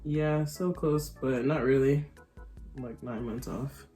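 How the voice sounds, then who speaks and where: A woman's voice: rumbling low, fairly even intonation, slow pace, mellow, faint music behind. Chatty, giggly nineteen-year-old woman. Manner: chatty and giggly, rambling with many likes. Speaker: vlogger having her makeup done. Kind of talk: casual chat.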